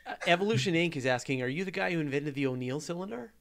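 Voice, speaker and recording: A man with a Canadian accent hosting a live space podcast, relaxed and reading out viewer questions. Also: fairly high pitch, fast talker, gravelly. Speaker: podcast host. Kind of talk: casual live Q&A chat.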